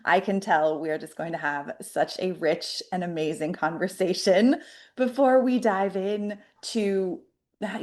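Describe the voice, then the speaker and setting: A woman's voice: lowish voice, a little mellow. A woman hosting a podcast, introducing her guest and asking questions in an upbeat, enthusiastic way. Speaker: podcast host.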